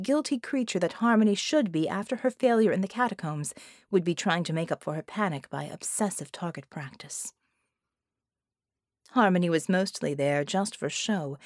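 A woman's voice: fairly low pitch, a little mellow, dry recording, studio quality. A woman reading scripted fiction as an audiobook narrator.

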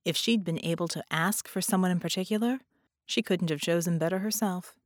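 A woman's voice: lowish voice, fairly quick, no echo, clean studio sound. A woman reading scripted fiction as an audiobook narrator.

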